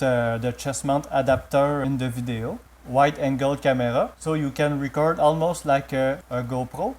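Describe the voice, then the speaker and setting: Higher-voiced man with a French accent, noisy recording. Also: darkish tone. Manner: non-native English with halting phrasing. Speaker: vlogger. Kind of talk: casual product demonstration. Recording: clearly noisy amateur recording with clicks.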